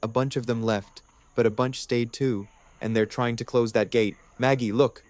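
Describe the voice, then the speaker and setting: A man's voice: medium pitch, steady tone, normal speed, darkish tone, no room sound, lightly acted. A man playing a nervous male ex-prisoner in a fan audio drama, earnest and a little stumbling as he pleads. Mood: urgent.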